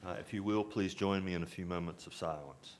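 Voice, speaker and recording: Deep-voiced man chairing a council meeting. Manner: measured, formal chairing with Southern "y'all". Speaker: mayor chairing a town board meeting. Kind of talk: formal meeting procedure. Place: town council chamber. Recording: noisy meeting room recording.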